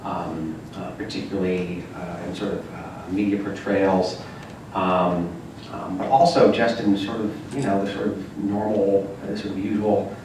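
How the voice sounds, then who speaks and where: A man's voice: sits low, little pitch movement, measured pace. A man on a university panel answering an audience question, hesitant and full of fillers, speaking into a handheld mic. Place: large echoey lecture room. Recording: echoey room from far off with noise.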